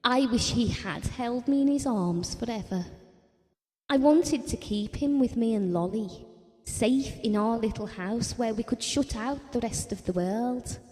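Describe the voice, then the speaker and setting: A fragile mother clinging to her lost daughter acted by a woman, in an intimate audio drama. The voice is a little high and warm, haunted and reflective. It rings out in a long reverb. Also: a little slow, natural voice. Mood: wistful. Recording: long reverberant hall sound.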